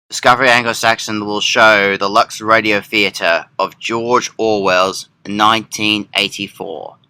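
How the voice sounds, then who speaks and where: A man's voice: lowish voice, pushed and bright, clear, resonant tone, no room sound, poor audio. A man reading scripted narration in the manner of a political commentary. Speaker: narrator and presenter of an upload.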